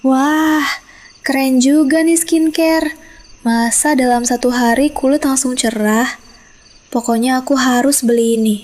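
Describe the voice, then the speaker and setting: A woman's voice: high voice, steady tone, a little slow, a little mellow. A woman voicing a greedy, nagging middle-aged village wife who talks in a whiny, scornful way.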